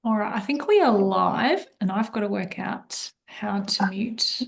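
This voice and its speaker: Excited woman hosting a live interview. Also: medium pitch, a little slow, creaky. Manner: enthusiastic, warm welcoming patter with fillers. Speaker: host, money coach.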